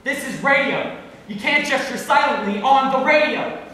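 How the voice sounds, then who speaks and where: A young man acts out a showy late-night radio host, gleeful and teasing, in a high-pitched voice. His theatrical announcer delivery is heard from far off in a very echoey hall, with clear noise behind it. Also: normal speed, sharp tone. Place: stage in a hall, distant microphone.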